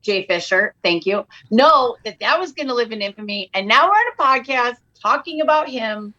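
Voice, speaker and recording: Animated joking woman, higher voice. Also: moderate pace, highs cut off. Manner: animated, self-mocking, jokey storyteller. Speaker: comedian guest. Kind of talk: casual podcast chat.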